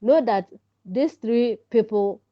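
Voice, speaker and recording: A woman with a Ghanaian accent giving an instructional lecture as a teacher, speaking deliberately in short, emphatic phrases. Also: fairly high pitch. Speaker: writing teacher presenting a lesson. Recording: dull, lo-fi sound.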